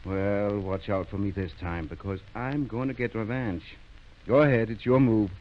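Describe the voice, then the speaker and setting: An actor playing a good-natured, mild small-town doctor in an unhurried 1930s radio drama style, on a muffled recording. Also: male voice, fairly low pitch, steady tone, close to an everyday voice.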